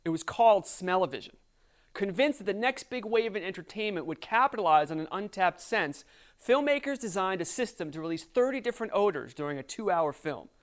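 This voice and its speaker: A narrator reading a scripted essay in a measured, even delivery. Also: mid-range voice.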